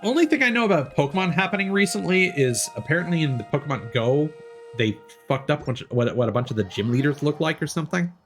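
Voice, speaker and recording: A man's voice: on the high side, animated, fast talker. A man streaming a video game, chatting casually as he plays, with game music behind him. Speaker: livestreamer and VTuber. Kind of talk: casual gaming stream chat.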